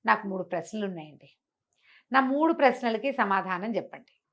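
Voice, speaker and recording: A woman's voice: medium pitch, animated, a little fast. Woman playing a courteous storybook sultan, mostly everyday voice. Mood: courteous, grand. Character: Sultan, a courteous male ruler posing questions.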